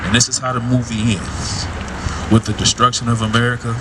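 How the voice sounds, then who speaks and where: A man's voice: medium pitch, flat delivery, brisk. Man preaching outdoors, lecturing tone. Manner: lecturing, asks "right?" again and again. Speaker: street preacher. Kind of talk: street preaching to a passerby. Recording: street noise, mic at a distance.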